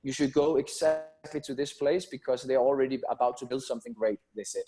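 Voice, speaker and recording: Man, mid-pitched, earnest, reflective, personal storytelling, interview guest. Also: steady tone, fairly quick, warm tone. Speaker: football academy founder and guest.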